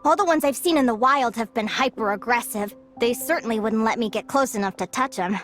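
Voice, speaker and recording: A woman voicing a caring young woman in a video game, speaking softly and earnestly. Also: a little high, fairly quick, soft background music, lightly acted. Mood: curious.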